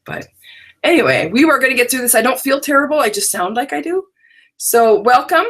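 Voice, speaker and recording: A woman's voice: high-pitched, very animated, brisk, a bit bright. A middle-aged woman with a scratchy, drowsy voice, casually chatting as she hosts a live online crafting workshop from her home stamp room. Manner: chatty, rambling, talks to unseen viewers. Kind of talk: casual live-stream chat.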